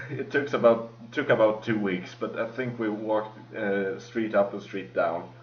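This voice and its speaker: A man with a heavy Swedish accent talks loosely on a podcast, often laughing and restarting his sentences. His voice sits low. The recording sounds roomy and dull, as if made on a cheap mic. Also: steady tone, measured pace, a little hiss or hum. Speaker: podcast co-host. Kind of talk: casual chat. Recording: echoey lo-fi mic.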